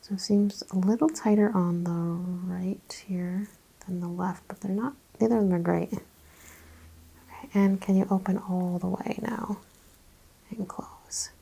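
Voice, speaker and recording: A woman speaks softly and gently as a chiropractor in an ASMR roleplay, often dropping to a whisper. Her voice is creaky and mellow, with a breathy edge. She asks and explains in short, unhurried phrases. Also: medium pitch, fairly even intonation, low-quality recording. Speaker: ASMR host playing a chiropractor. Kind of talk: ASMR roleplay, soft-spoken exam.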